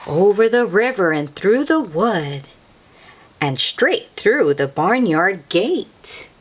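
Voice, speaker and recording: Female children's read-aloud host: unhurried, lively pitch, a touch breathy, sing-song and engaging the listener. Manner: sing-song, asks the listener questions with soft exclamations. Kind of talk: expressive picture-book read-aloud for young children.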